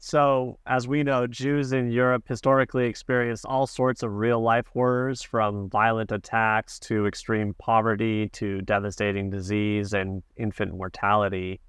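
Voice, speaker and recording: A man co-hosting an interview, reading out a prepared question in a measured way. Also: lowish voice, flat delivery, a bit bright, smooth, dry recording, studio quality. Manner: measured, scripted delivery.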